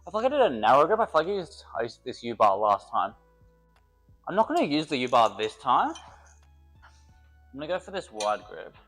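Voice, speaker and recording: Lively man rambling through a workout. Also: mid-range voice, fairly quick, pauses often, soft background music. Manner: rambling, joking, thinks aloud between sets. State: slightly congested from a cold. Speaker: personal trainer. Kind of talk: casual workout vlog talk.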